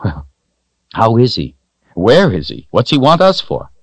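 A man's voice: mid-range voice, wildly swooping pitch, unhurried, no echo, pitched above the performer's own voice, heavily acted. A man playing a wary, inquisitive male fantasy hero in an audiobook, asking with some urgency. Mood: eager. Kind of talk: audiobook character voice.

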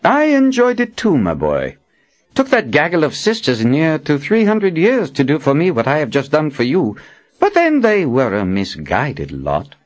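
A man's voice: a little high, huge pitch swings, normal speed, dull audio, voice pushed up. A man voicing an older, self-assured prophet wizard with a breezy manner in an audiobook. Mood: pleased, breezy. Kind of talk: audiobook character acting.

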